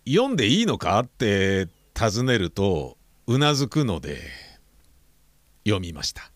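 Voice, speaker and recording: A man's voice: on the low side, very animated, a little slow, no room sound. An actor playing a polite, apologetic middle-aged father in an audio drama, speaking candidly and a little hesitantly. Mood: reflective, frank. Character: apologetic, candid, protective father of a grown daughter. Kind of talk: Japanese audio drama acting.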